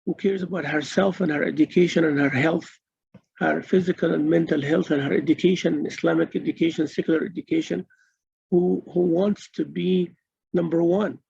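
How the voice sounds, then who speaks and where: A man teaching a religious lecture to women students, earnest and halting, with many pauses and repeated phrases for emphasis, on a dull lo-fi recording. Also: a little high, steady tone, creaky, darkish tone, husky, no echo. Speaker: Islamic teacher and mentor.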